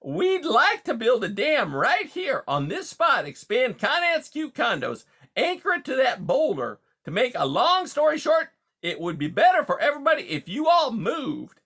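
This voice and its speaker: A huge male beaver from a storybook, pitched high and swooping widely. He is a pushy, smooth-talking bully, brash and folksy, and he bulldozes through every conversation. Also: wildly swooping pitch, moderate pace, fairly bright tone, heavily acted. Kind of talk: audiobook character dialogue.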